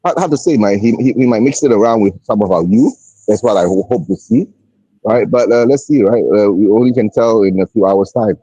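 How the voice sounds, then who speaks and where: Low, husky man who keeps asking right. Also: expressive, fairly quick, dark tone, no room sound, poor audio. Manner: hesitant, stammers, keeps adding right?. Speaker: panel guest. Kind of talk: casual football chat.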